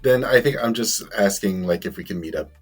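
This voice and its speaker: A man's voice: low voice, expressive, a little fast, soft background music. A man playing in a tabletop role-playing game, speaking in a laid-back way and searching for words. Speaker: player. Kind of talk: casual tabletop game chat.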